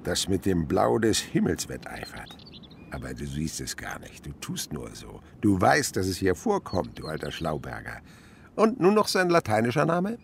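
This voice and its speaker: An older nature-loving uncle for radio drama: very animated, deep, fond and teasing, faint birds behind. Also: male voice, normal speed, creaky, slight background noise, exaggerated voice. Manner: theatrical, fond, instructive questioning. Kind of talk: German radio play acting.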